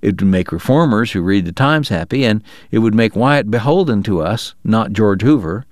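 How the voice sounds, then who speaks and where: A man voicing a calculating frontier businessman who pitches his plans in a mild, innocent-sounding way, for an audiobook. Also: expressive, moderate pace, no echo, studio quality, lightly acted. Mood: wheedling. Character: scheming small-town businessman, soft-spoken, calculating.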